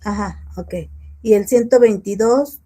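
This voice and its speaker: A woman's voice: mid-range voice, fairly even intonation, a little slow, a crowd in the background. A woman, a student politely asking questions in an online class. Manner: polite, deferential.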